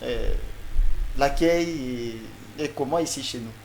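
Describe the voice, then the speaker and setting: A man's voice: mid-range voice, slow pace, darkish tone, a little hiss or hum, low-quality recording. A man with a Congolese French accent hosting a talk show, formal in address but often hesitating and repeating words. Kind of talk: presenting and interviewing on a talk show.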